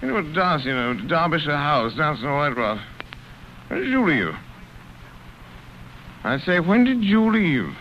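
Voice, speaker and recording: An actor playing a rambling, drunk gentleman in a vintage radio drama, with slurred, sing-song speech. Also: on the high side, sing-song, a little slow, pauses often, slight background noise. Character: drunk upper-class English gentleman, rambling. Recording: dull lo-fi old radio recording.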